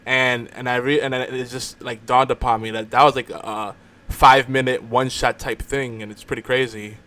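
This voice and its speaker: A man's voice: medium pitch, level tone, moderate pace, pushed and bright. A man hosting a podcast in casual chat, talking like an enthusiastic fan. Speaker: podcast host.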